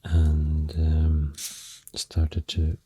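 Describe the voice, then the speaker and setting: A woman with a Swedish accent speaks softly in a very low, husky, whispery voice for ASMR. She rambles extremely slowly with halting pauses, hushed and close to the microphone. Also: monotone, no room sound. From Sweden. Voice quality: deep, breathy, often whispery. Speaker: ASMR host. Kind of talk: soft-spoken ASMR rambling. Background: soft pencil and clicking sounds.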